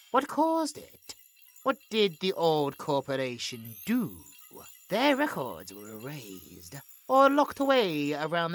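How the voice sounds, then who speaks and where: Low-voiced, theatrical cold woman scientist, acted out by a woman. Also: full character voice. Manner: theatrical, clinical log narration with dramatic emphasis. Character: cold clinical female scientist, gloating and imperious. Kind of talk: scripted horror audio drama.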